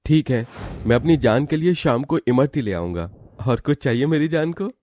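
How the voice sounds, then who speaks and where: A man's voice: mid-range voice, lively intonation, normal speed, a little mellow, dry recording, studio quality, natural voice. A man voicing an affectionate young husband in a cartoon story, sweet-talking and teasing.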